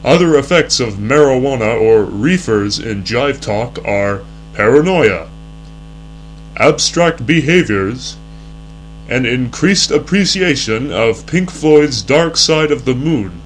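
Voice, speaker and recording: A man's voice: mid-range voice, animated, takes it slowly, slight background noise, rough recording. A young man reading scripted narration in the earnest, formal announcer style of a 1960s educational film. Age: young adult.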